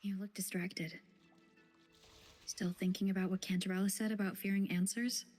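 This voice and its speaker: Woman as a calm, mid-pitched fantasy wanderer. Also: normal speed, close to an everyday voice. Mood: calm concern. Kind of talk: scripted video game voice acting. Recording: music behind.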